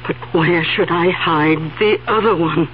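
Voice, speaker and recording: An actress playing a confused, stubborn, fretful elderly aunt in a 1970s radio drama, hesitant and stammering yet insistent. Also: female voice, lowish voice, unhurried, natural voice. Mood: secretive. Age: older. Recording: dull old radio recording, faint hum.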